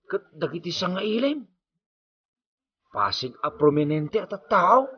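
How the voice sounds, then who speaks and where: Animated, awestruck Filipino coworker Rudy. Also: male voice, high-pitched, a little slow, husky, muffled recording, heavily acted. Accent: Filipino, Ilocano. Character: awestruck, chatty male coworker named Rudy. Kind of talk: Ilocano radio drama acting.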